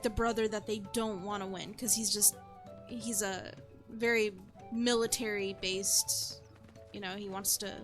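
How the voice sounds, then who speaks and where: A woman's voice: a little high, a bit bright. A woman streamer giving casual gameplay commentary, rambling with lots of fillers and restarts. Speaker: vtuber streamer.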